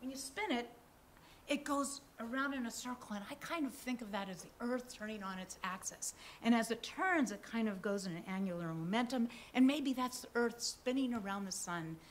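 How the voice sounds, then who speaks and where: A woman's voice: fairly high pitch, moderate pace, a little hiss or hum. An older woman on a theater stage, chatting through an introduction to a song in a musing, slightly nervous way at a stand mic. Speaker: musician and storyteller. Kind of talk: casual spoken introduction on stage. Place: theater stage with live audience.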